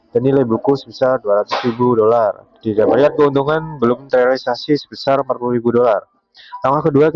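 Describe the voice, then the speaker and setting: A man's voice: medium pitch, no echo, highs cut off. A young man giving a step-by-step explanatory presentation as a university student. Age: young adult.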